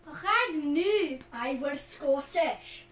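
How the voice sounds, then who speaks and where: A young woman playing swaggering male outlaws, pirates and highwaymen in a comic radio play. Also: expressive, takes it slowly. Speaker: drama student. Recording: roomy echo.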